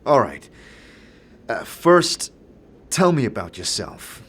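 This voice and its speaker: A man's voice: mid-range voice, sing-song, slow pace, a bit bright, husky, exaggerated voice. A man voicing a bewildered outsider reluctantly investigating, questioning hesitantly, in a fantasy audio drama. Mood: probing.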